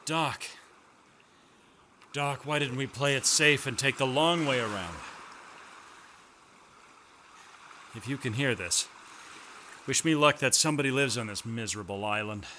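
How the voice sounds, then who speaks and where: A man's voice: mid-range voice, moderate pace, pauses often, noisy background, close to an everyday voice. A man playing a plainspoken shipwrecked mercenary in a space adventure audio drama. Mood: weary, grieving. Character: male shipwrecked mercenary hunter, rugged and plainspoken, courteous.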